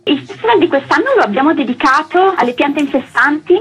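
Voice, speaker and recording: A woman's voice: high-pitched, expressive, a little fast, fairly bright tone. A woman speaking as a festival curator in a radio interview, explaining the idea behind the event.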